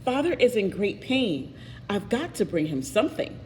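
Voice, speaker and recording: A woman's voice: medium pitch, very animated, normal speed, a little mellow, long reverb tail, slight background noise, natural voice. A woman voicing a worried young son in a storybook, earnest and eager to help. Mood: worried, wondering.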